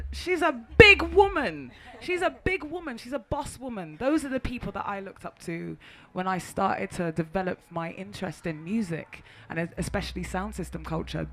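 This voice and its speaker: A woman speaking as a music artist on a festival panel, telling stories in an animated, laughing way. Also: medium pitch.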